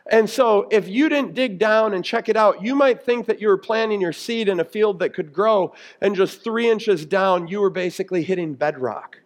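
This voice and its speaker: A man with a notably high-pitched voice gives a conversational sermon. He speaks as a pastor who teaches step by step, keeping his explanations plain-spoken and grounded in everyday examples. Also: moderate pace, hardly any pauses. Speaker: pastor preaching.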